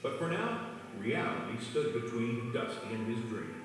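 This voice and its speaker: Lively man narrating a children's storybook. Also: medium pitch, normal speed, background noise. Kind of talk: scripted children's read-along narration. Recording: echoey, distant, long reverb, music behind.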